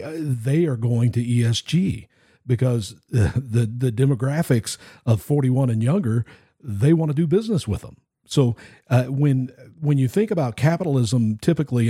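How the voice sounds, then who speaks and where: A man hosting a live political talk show in an audio room, speaking in a steady, deliberate way. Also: mid-range voice, husky, no room sound, studio quality. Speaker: host.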